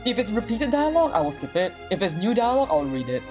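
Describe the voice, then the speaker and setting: A woman livestreaming a game with casual, excitable commentary, often repeating her phrases. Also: mid-range voice, fast talker. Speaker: streamer. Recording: music behind the voice. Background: game music.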